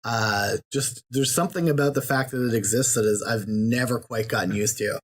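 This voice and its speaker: A man with a medium-pitched voice speaks as a podcast guest. He talks thoughtfully but hesitantly, with many ums and restarts. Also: moderate pace, breathy edge. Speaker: podcast guest, YouTube creator. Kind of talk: casual podcast chat.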